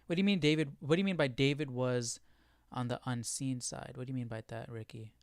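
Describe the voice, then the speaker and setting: A man's voice: medium pitch, steady tone, fairly quick, clean tone, no room sound, studio quality. A man hosting a casual live Bible study, explaining as he thinks aloud and often posing questions. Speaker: livestream Bible study host.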